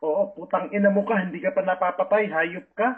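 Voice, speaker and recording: A man's voice: sits high, steady tone, fairly quick. A Filipino-accented man on a confrontational phone call, aggressive and taunting. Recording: tinny, band-limited phone call recording.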